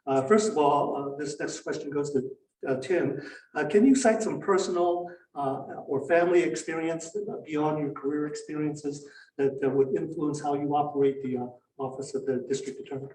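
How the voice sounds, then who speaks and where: A man's voice: a little high, fairly even intonation, moderate pace, darkish tone. A man moderating a public forum, introducing questions hesitantly with frequent fillers. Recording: roomy hall, distant mic, faint noise.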